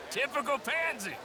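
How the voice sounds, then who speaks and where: An actor playing a belligerent, sneering drunk party guest with slurred speech in a video game. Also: male voice, very high-pitched, exaggerated voice. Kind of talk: video game cutscene acting.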